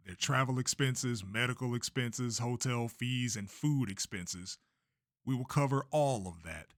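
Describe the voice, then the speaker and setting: A man playing a showy, unflappable race promoter who speaks grandly and deliberately, in an amateur manga dub. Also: medium pitch, no room sound, studio quality, lightly acted. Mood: measured, assured.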